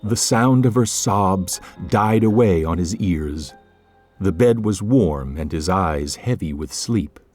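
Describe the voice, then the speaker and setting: A man reading scripted literary narration for an audio drama in a measured way. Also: low voice, expressive, darkish tone, no echo, soft background music.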